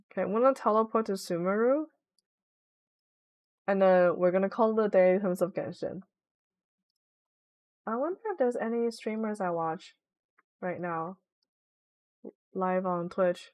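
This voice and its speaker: A woman's voice: mid-range voice, no room sound. A young woman streaming from home, chatting casually and rambling with lots of "like" fillers as she plays. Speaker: Twitch streamer. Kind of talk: casual live-stream chat while gaming.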